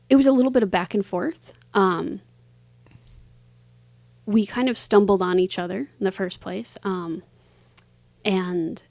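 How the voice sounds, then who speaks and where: Lady author being interviewed, casual chat, average pitch, really dry recording. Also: a little mellow, no echo.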